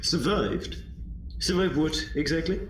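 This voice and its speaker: Male actor as quirky time-traveling adventurer, lightly high male voice, whimsical, rambling, audio drama. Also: a little slow, slight background noise, natural voice. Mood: curious. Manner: whimsical, rambling, self-interrupting.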